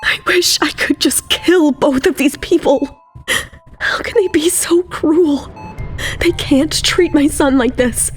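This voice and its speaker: A high-voiced young mother, anguished and pleading yet determined. She speaks rather slowly in an audio melodrama, with faint music behind her. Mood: anguished, resolute. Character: distressed, weakened but determined young mother. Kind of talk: melodramatic audio drama acting.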